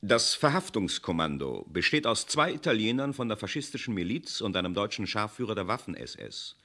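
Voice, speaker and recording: A man narrating the stage directions of a vintage radio play. His voice sits slightly low and moves freely up and down. The delivery is measured and dry. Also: sing-song, pushed and bright.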